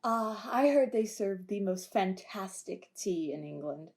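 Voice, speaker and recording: A woman's voice: medium pitch, expressive, unhurried, creaky, darkish tone. A woman in an amateur audio drama playing a wry ship security guard who makes dry, playful quips.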